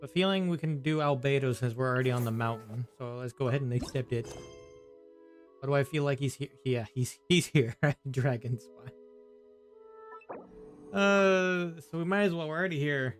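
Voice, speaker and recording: A man's voice: mid-range voice, moderate pace. A man giving casual, offhand commentary while playing a video game. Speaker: gaming streamer. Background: game music.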